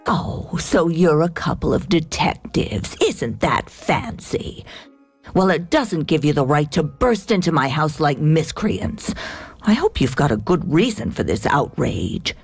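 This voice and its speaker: An actress voicing a proud, spiteful older madame in a game, with a haughty, scornful manner. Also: female voice, low voice, sing-song, unhurried, breathy edge, faint music behind, full character voice.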